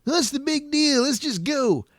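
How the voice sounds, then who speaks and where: An excitable comic-book character acted by a man in a parody audio serial. The pitch is high and swoops around a lot, with slangy, cartoonish exclamations. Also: measured pace, no echo, studio quality, exaggerated voice. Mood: protesting.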